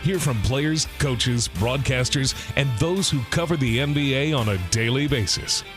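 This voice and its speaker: A man reading a scripted podcast intro as an announcer, in measured promotional phrases. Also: mid-range voice. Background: guitar music.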